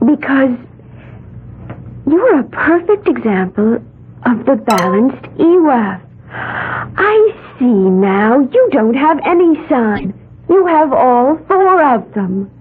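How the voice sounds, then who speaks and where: High-voiced, dreamy young eccentric woman. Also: big pitch swings, slow, breathy edge, narrow, tinny audio, exaggerated voice. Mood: admiring. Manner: earnest, gentle, otherworldly musing. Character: dreamy, eccentric young woman, self-styled priestess of the elements. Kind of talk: old-time radio drama acting. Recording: thin, band-limited old radio recording with hiss.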